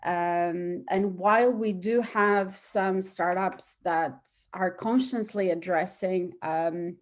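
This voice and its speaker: A woman's voice: lowish voice, slow pace. A woman from Portugal, answering conversationally as an interview guest. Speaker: interview guest, start-up hub operations chief.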